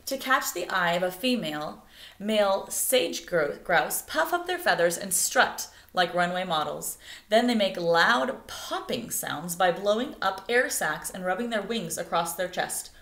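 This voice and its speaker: A woman reads a nature picture book aloud as expressive narration meant for children. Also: on the low side, a little slow. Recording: echoey room.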